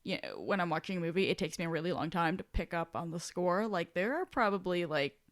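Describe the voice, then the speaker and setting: A woman's voice: fairly low pitch, lively intonation, fast talker, no echo, studio quality. A woman co-hosting a podcast in casual discussion, talking in a rambling, self-deprecating way. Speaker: podcast co-host.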